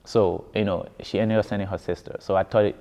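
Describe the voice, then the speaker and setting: A man's voice: on the low side, steady tone, fast talker, dark tone. A man from Ghana with a Ghanaian accent telling his story in a casual interview, often repeating words for emphasis. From West Africa, grew up in Ghana. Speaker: musician being interviewed. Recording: echoey hall, dull muffled sound.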